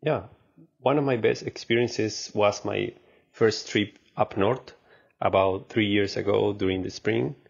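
A man's voice: low-pitched, muffled recording. A man from Argentina talking conversationally as a podcast guest and sharing stories. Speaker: podcast guest, marketing manager. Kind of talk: conversational storytelling.

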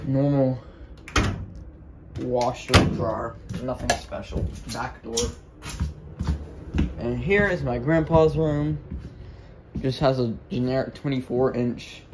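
A young man giving an offhand, rambling house tour in casual vlog style, talking into a handheld phone. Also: mid-range voice. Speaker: vlogger. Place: walking through a house. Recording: noisy handheld phone recording with clicks.

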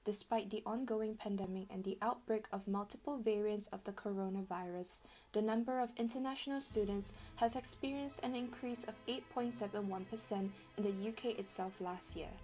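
A woman giving a scripted documentary narration in a measured, informative manner. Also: mid-range voice, dark tone, faint music behind.

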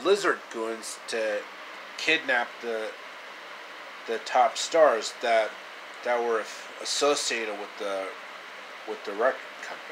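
A man in his thirties telling an improvised story casually to camera, halting and repeating phrases, in a noisy small room. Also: mid-range voice. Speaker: amateur storyteller. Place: small indoor room, reclining. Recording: clear air conditioner noise.

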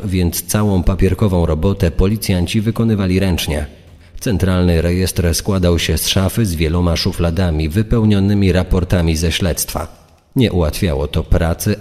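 A man's voice: deep voice, darkish tone. A man delivering scripted true-crime narration. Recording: long reverb.

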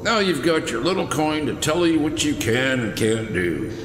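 An actor playing a scornful older police commissioner held captive in an audio drama. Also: male voice, mid-range voice, animated, moderate pace, noisy background, lightly acted. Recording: echoey hall-like reverb, music.

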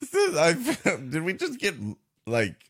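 A man's voice: sits high, wildly swooping pitch, measured pace, a bit bright, breathy edge, dry recording, studio quality. A man co-hosting a comedy podcast, riffing wryly in casual banter with mock exasperation. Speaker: podcast co-host. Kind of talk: comic banter, casual chat.

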